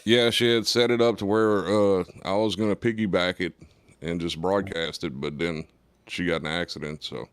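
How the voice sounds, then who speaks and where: Deep-voiced man, relaxed jokey radio co-host, dry close mic. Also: normal speed, clean tone, dry recording. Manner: laid-back, joking. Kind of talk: casual radio chat.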